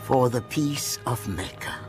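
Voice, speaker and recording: An actor playing an old man, a protective uncle and clan elder, speaking earnestly in an animated film. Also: medium pitch, measured pace, natural voice. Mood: pleading. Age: older. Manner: earnest, pleading, steadfast. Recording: animated film with music behind.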